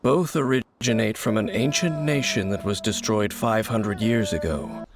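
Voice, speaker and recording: An actor playing a mysterious, grave wandering swordsman. He speaks in a measured and cryptic way. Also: lowish voice, over music, natural voice. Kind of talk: video game cutscene voice acting.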